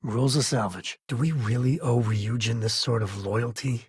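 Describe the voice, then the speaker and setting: An actor playing an easygoing, protective frontier ranger and father in a video game, with a husky voice and wry, folksy conversational delivery. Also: medium pitch, normal speed, dry recording, studio quality. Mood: casual. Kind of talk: video game character dialogue.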